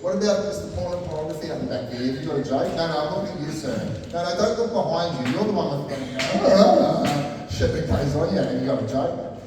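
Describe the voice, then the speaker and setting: A man's voice: a little high, expressive, brisk. A man hosting a comedy night. He teases the audience in chatty banter and stumbles over repeated words. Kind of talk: live stand-up MC banter with the crowd. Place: large hall at a live comedy night. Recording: echoey hall from far off, crowd noise. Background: audience in the room.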